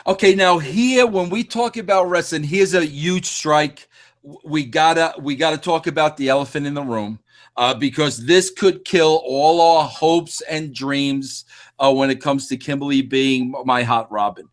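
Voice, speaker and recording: A man's voice: on the high side, moderate pace. Stammering, teasing male podcast host. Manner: repeats words, stammering restarts, playful teasing. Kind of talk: casual interview chat.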